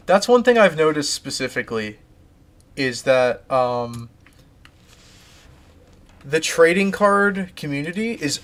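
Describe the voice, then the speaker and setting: A man's voice: medium pitch, a bit bright. A man streaming live, casually chatting and reading out viewer comments, rambling and restarting his sentences.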